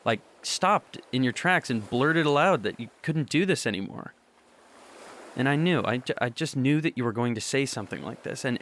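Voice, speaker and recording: A young man playing an earnest, nervous teenage boy in love, speaking softly and stammering in a student radio drama. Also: mid-range voice, slight background noise, close to an everyday voice. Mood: conflicted. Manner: halting, stammering, soft.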